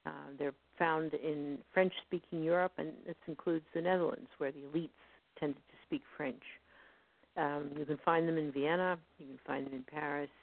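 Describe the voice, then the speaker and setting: A woman history professor, a guest on an online talk show, explaining in a measured, scholarly way over a phone line. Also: low voice, fairly even intonation, vocal fry. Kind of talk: online radio interview. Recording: tinny phone line, dry.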